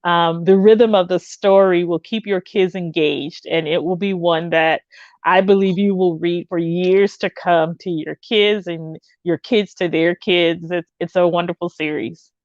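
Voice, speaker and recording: A woman's voice: fairly low pitch, fairly even intonation, unhurried, darkish tone, no room sound, dull audio. A woman hosting an online reading event, interviewing a guest and speaking with effusive praise. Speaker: host.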